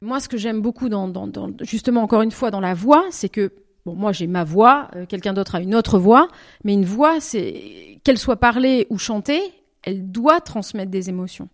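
A woman singer answering interview questions in a thoughtful way, pausing and rephrasing often as she searches for words. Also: medium pitch. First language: French. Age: over twenty. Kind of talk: reflective interview talk.

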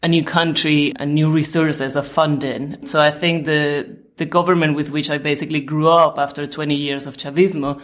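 Woman, about thirty, deep voice, earnest and analytical. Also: little pitch movement, normal speed, few pauses. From Venezuela. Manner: earnest, building an argument with repeated restarts. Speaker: panel guest. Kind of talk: analytical discussion.

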